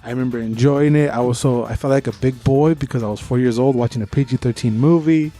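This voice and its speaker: A man's voice: mid-range voice, fast, dark tone. A man co-hosting a podcast, giving opinionated, informal review chat with bits of trivia. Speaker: podcast co-host.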